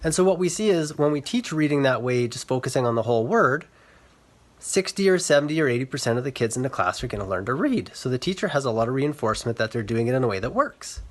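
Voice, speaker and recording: A man speaking as an educator, giving an earnest, measured explanatory talk for social media. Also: mid-range voice. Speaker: reading specialist and educator.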